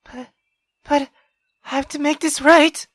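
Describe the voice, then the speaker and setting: A woman's voice: sits high, fairly even intonation, a little slow, no room sound, clean studio sound, voice pushed up. A woman voicing an anguished, guilt-ridden but resolute young woman in a visual novel, faltering and pleading. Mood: faltering resolve.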